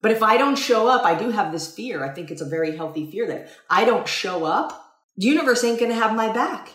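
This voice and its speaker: A woman's voice: medium pitch, animated, brisk, a bit bright, roomy sound. A woman co-hosting a casual talk, reflective and earnest, speaking in long, winding sentences.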